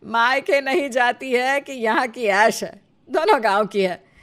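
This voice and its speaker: A woman's voice: on the high side, measured pace, a bit bright. A woman voicing a blunt, exasperated older mother-in-law who scolds plainly in a comic story.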